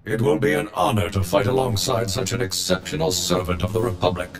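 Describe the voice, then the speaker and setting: An actor playing a gung-ho, patriotic war droid in a video game, speaking in a stiff, formal, bombastic way. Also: male voice, low-pitched, lively intonation, brisk, creaky, soft background music. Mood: earnest, proud. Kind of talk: scripted video game acting.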